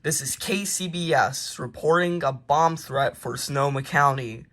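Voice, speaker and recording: A man reads amateur mock emergency announcements in a halting, informal way, correcting himself and slipping into casual asides. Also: a little high, a bit bright, slight background noise. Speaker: narrator of a homemade emergency alert scenario.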